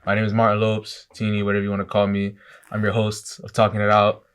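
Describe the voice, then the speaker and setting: A young man hosts a casual podcast with easy, slangy banter. His voice sits fairly low and comes through a close podcast mic. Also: monotone, fast, fairly bright tone, clear, resonant tone. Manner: laid-back slangy banter. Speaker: podcast host. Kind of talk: casual chat.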